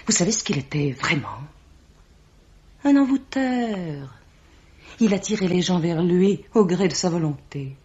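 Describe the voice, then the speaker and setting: An actress playing a haughty mystical bookseller in a French radio mystery, solemn, theatrical and full of contempt. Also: female voice, on the low side, expressive, measured pace, long pauses, dark tone, slight background noise. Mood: ominous. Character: haughty mystical bookseller woman, accusing and ominous. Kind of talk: French 1950s-style radio mystery acting. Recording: dull vintage radio-drama recording.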